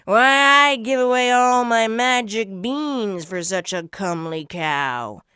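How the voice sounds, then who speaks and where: A loud, folksy old man acted out by a woman from a storybook, a cunning trader pitching his wares with showy flair. His delivery swings up and down dramatically, in a close, dry recording. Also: animated, slow pace, smooth, dry recording, full character voice. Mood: haggling, scoffing.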